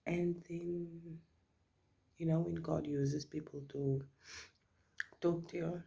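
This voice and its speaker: A middle-aged woman with a South African accent, her first language Afrikaans, talks through her makeup in a relaxed chit-chat. Her voice is gravelly and a little husky. She often drops her volume to a near whisper. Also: low-pitched, slow pace. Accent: South African English. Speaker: beauty vlogger.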